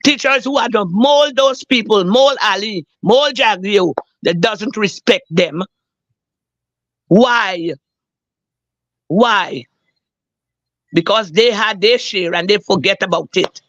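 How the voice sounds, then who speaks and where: A hoarse woman, calling a talk show and earnestly telling a personal story, repeating herself for emphasis. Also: mid-range voice, slow, long pauses, fairly bright tone, no room sound, dull audio. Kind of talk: emotional personal account.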